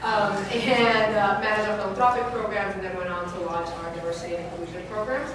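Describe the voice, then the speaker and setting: A woman's voice: mid-range voice, steady tone, brisk. A woman panelist introducing herself in a chatty way, laughing and using many fillers. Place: hotel event room. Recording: echoey, noisy room from far off.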